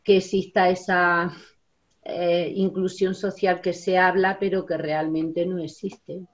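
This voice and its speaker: A woman's voice: lowish voice, level tone, takes it slowly. A woman in her sixties, a teacher, talking in a rambling way full of fillers and tag questions in a casual video-call interview. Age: 60s. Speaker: guest, special education teacher and union member. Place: home study with bookshelves. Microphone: laptop webcam on a video call. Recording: dull lo-fi video call audio.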